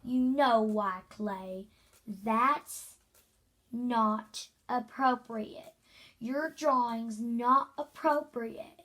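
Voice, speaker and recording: Child playing a stern, slow-spoken male art teacher. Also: medium pitch, sharp tone, full character voice. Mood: strained, scolding. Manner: strained, scolding, drawn-out.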